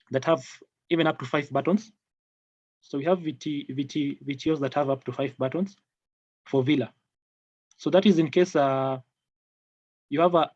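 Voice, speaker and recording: A man with a Kenyan accent leading a live technical product training online, speaking haltingly and repeating phrases. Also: mid-range voice, darkish tone, husky, no room sound. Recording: dull lo-fi online call audio.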